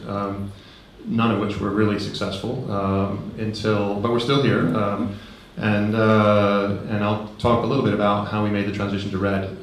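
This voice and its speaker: A man giving a relaxed conference talk, conversational, with frequent ums and light humor, into a handheld microphone. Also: on the low side, slight background noise. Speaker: conference speaker. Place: large conference hall. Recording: very echoey hall.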